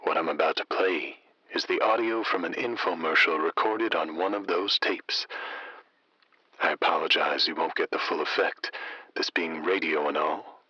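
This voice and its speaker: Casting: folksy host of eerie late-night radio, deep, breathy-husky, intimate and deliberate storyteller. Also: male voice, pushed and bright, dry recording, lo-fi sound, exaggerated voice.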